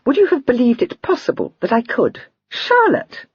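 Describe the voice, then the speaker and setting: Bubbly young lady going way up high, breathy and giggly, woman reading the part. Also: huge pitch swings, normal speed, dry recording, lo-fi sound, exaggerated voice. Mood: chattering. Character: lively excitable young lady, teasing and girlish.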